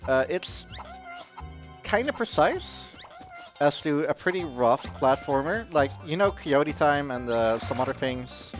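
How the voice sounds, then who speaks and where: A man's voice: fairly high pitch, expressive, a little slow. A man who is not a native English speaker giving casual, rambling live commentary on his own speedrun, with wry asides. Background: video game music.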